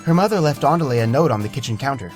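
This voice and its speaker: Gentle male storyteller, soft music. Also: mid-range voice, animated, racing. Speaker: narrator. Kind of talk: scripted fiction narration.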